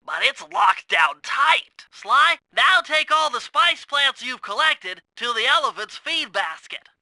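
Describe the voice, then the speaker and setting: A man's voice: extremely high pitch, animated, takes it slowly, pushed and bright, no room sound, full character voice. A man voicing a brainy, earnest young cartoon turtle in a video game, explaining things in precise, technical, wordy instructions. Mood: focused, explanatory. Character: nerdy young male cartoon turtle, brainy, earnest gadget-minded strategist. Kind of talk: video game character voice acting.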